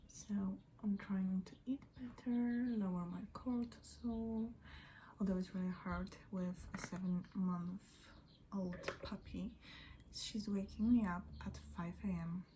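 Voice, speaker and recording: A young woman who is not a native English speaker, chatting in a soft, often half-whispered, rambling way as she does her makeup at home in front of a mirror. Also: mid-range voice, slight background noise, rough recording. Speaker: beauty and book vlogger. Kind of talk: get-ready-with-me chat.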